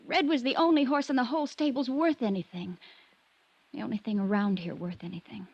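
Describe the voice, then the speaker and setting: An actress playing an upset, defiant young daughter, emotional and accusing, in a 1950s radio drama. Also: female voice, on the high side, fairly even intonation, a little fast, dull audio. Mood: bitter. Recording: noisy vintage radio recording.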